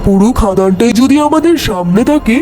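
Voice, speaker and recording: Woman playing callous cartoon animal villain, greedy, demanding, slightly high pitch, mellow tone, background music. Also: animated, moderate pace, natural voice. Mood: greedy, menacing. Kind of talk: cartoon story dialogue.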